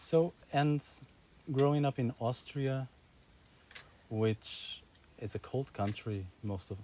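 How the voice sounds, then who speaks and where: A man's voice: mid-range voice, slow pace, warm tone, a little hiss or hum. A man interviewing a guest in a casual way, asking questions and nodding along with short encouraging replies.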